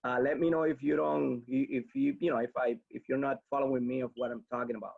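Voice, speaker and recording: A man, a webinar instructor answering audience questions in a halting way, with many restarts. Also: medium pitch, flat delivery, creaky, slightly rough, narrow, tinny audio. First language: Spanish.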